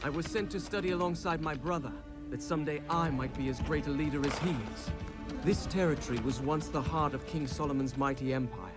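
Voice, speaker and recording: An actor playing a young, adventurous prince in a video game, articulate, noble and dryly ironic. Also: male voice, a little high, a little fast, natural voice. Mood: proud, reflective. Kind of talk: video game voice acting, storytelling narration and banter. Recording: loud game music behind.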